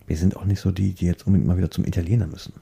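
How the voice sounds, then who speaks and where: A man chatting casually in a rambling, halting way, often repeating words as he searches for the next one. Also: low-pitched, fairly even intonation, creaky, dark tone, husky.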